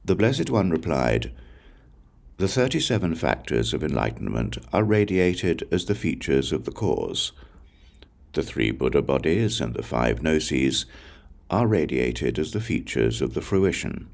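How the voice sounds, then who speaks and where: Super deep guy reading scripture audiobook, lots of vocal fry, solemn n measured w pauses. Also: sing-song, darkish tone. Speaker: audiobook reader. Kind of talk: scripted narration of a Buddhist scripture.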